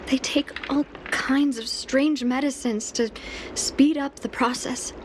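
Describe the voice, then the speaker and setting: A woman's voice: high-pitched, steady tone, slow, noisy background. A woman playing an ailing, lovelorn fugitive in a video game, earnest and sorrowful, pleading as she speaks. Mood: anguished. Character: anguished long-lived woman, ailing fugitive in love. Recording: loud music behind the voice. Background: game music.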